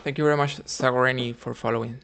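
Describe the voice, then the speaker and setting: A man talks through his chess moves live, half to himself and half to his viewers. His voice sits at an ordinary middle pitch with a gravelly fry. He speaks quietly and hesitantly, trying out moves in murmured fragments. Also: fairly even intonation, quick pace. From Cuba. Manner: thinking aloud, muttering moves quietly, hesitant. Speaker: chess streamer. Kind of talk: live-streamed commentary on his own chess games.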